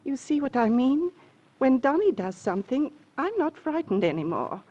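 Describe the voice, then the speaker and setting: Timid, clinging radio-drama woman, clear-voiced. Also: high voice, sing-song, normal speed, warm tone. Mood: relieved, dreamy. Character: timid, dependent woman clinging to her protector. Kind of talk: classic radio crime drama acting. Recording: muffled old radio recording.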